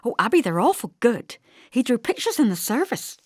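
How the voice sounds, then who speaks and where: Earnest young country girl voiced by a female reader for an audiobook. Higher pitch, bright and eager. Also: very animated, fairly quick, husky, no echo, studio quality.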